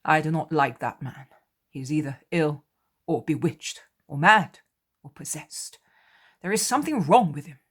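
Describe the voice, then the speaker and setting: A man's voice: on the high side, steady tone, fairly quick, few pauses, breathy edge. A woman voicing Thaddeus, a blunt adult male disciple who speaks resolutely.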